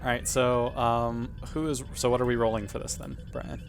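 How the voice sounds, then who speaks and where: A man's voice: mid-range voice, level tone, normal speed, over music. A man chatting casually and jokingly as he plays a tabletop roleplaying game. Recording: faint background noise.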